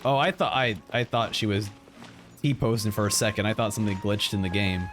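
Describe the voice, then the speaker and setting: A man giving live reaction commentary as a game streamer, excitable and effusive, often repeating his phrases. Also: on the low side, fairly quick, music in the background.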